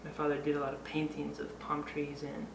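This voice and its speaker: A man talking reflectively about himself, halting and pausing between short phrases. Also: on the high side, completely flat delivery, gravelly. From Oregon and Costa Rica. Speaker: artist and educator. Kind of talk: personal introduction, reflective talk. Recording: echoey, noisy room, distant mic.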